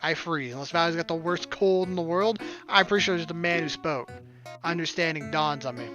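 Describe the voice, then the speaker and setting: A man's voice: fairly high pitch, brisk, bright, forceful tone, muffled recording. Casual, wry man reading a game aloud. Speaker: gaming video host reading the game aloud. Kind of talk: reading visual novel narration with casual commentary. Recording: game music behind the voice. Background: game music.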